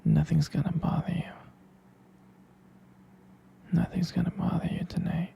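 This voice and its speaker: A man speaking in a breathy, husky whisper, tender, soothing and halting, as a boyfriend in a bedtime ASMR roleplay, close to the microphone. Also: on the high side, flat delivery, warm tone. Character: tender, protective boyfriend lulling partner to sleep.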